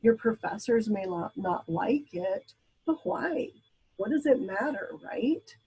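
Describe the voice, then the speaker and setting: A woman's voice: fairly high pitch, expressive, takes it slowly, warm tone, breathy edge, dull audio. A woman from Brazil teaching a class in a casual, chatty lecture style, with frequent ums and asides. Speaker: instructor.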